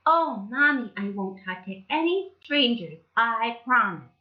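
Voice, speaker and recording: Lady putting on a tiny squeaky storybook girl, begging and singsong, swoopy voice, roomy dull sound. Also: expressive, measured pace. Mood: eager. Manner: high, pleading, singsong. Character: sweet eager little girl in a red cloak. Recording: dull, echoey room.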